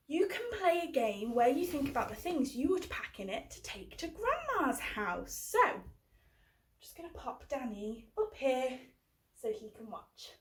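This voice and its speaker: A British woman hosting a children's book club, presenting a simple activity to young children. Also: high voice.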